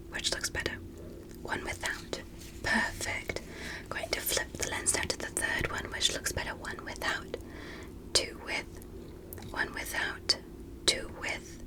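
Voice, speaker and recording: A woman whispering in an ASMR medical roleplay as a curious, polite alien doctor, speaking gently and deliberately with soft amazement. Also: poor audio. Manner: gentle, deliberate, repetitive, softly amazed at small things. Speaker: ASMR performer playing an examiner.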